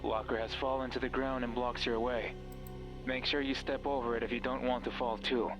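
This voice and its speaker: A man's voice: medium pitch, little pitch movement, brisk, music in the background, natural voice. An actor playing a mysterious, controlling male voice in a horror game, taunting, sardonic and condescending. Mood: mocking, cruel. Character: mocking, cruel, controlling mysterious male voice on a radio.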